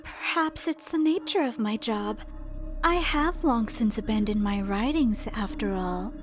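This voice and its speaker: A woman playing the ghost of a dead girl in a game dub, cryptic and coldly taunting, speaking in a measured, ominous, breathy whisper. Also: a little high, natural voice. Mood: solemn. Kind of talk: dramatic video game dub acting. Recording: background music under the voice.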